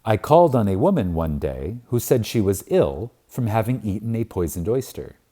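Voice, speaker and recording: A man with a low, mellow voice reads a spiritual book aloud for an audiobook. He takes his time, leaning on words with reverent emphasis and long pauses. His intonation rises and falls widely. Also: very animated. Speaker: audiobook reader. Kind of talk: reading a spiritual self-help book aloud.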